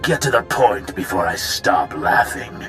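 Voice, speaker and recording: An actor playing a burly hired thug in a video game, mocking and menacing. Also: male voice, sits low, big pitch swings, a little slow, a bit bright, soft background music, exaggerated voice. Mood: mocking, greedy. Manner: taunting, menacing. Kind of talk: video game villain acting.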